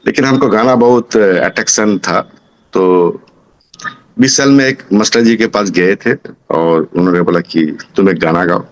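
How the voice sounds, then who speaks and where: A man in his sixties with a fairly low voice and Bengali-accented Hindi. He gives an informal personal testimonial as a student, pausing and searching for words as he goes. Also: low-quality recording. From Kolkata, India. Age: 60s. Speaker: singing student giving a testimonial.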